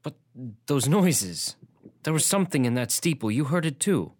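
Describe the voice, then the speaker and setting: A man's voice: on the high side, big pitch swings, moderate pace, darkish tone. An actor playing a shaken, earnest young man in a radio drama, anxious and intense. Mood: shaken, fearful.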